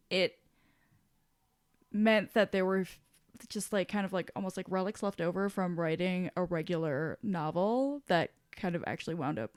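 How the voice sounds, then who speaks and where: A woman's voice: medium pitch, clear, resonant tone, no room sound, clean studio sound. A woman co-hosting a podcast in casual discussion, talking in a rambling, self-deprecating way. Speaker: podcast co-host.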